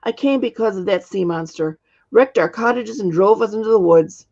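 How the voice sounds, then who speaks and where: Woman voicing a husky, grumbling old witch. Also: medium pitch, normal speed, darkish tone, dull audio, natural voice. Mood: exasperated. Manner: complaining, blunt. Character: spry, grumbling ninety-year-old witch. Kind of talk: storybook character reading.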